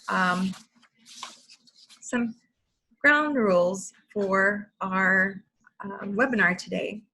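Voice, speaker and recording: A woman's voice: mid-range voice, a bit bright, slightly rough, poor audio. A woman hosting an online webinar, reading a formal scripted welcome and ground rules over a video call. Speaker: webinar host and program manager.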